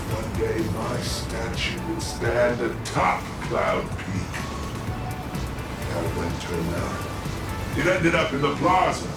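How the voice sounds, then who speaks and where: Wry washed-up superhero. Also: male voice, medium pitch. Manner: wry, joking, self-deprecating. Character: weary, self-mocking superhero man. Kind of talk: video game voice acting. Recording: distant, echoey game mix. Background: music.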